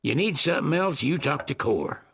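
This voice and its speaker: An actor playing a gruff, weary old mechanic in a video game, with an American Southern accent and a blunt, folksy drawl. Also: male voice, a little high, lively intonation, normal speed, fairly bright tone, slight background noise. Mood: weary, somber. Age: older. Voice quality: gravelly, husky. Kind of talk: video game cutscene acting.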